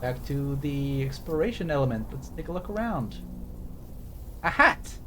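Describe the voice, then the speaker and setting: A man's voice: medium pitch, lively intonation, normal speed, noisy background. Self-mocking rambling male streamer. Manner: reads game text aloud. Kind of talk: casual let's-play commentary. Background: game music.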